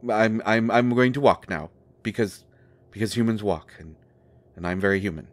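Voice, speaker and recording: A man playing a pompous, eccentric oddball who poses as human, with a grandiose, halting, theatrical delivery in a fantasy audio drama. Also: lowish voice, natural voice. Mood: awkward, self-assured.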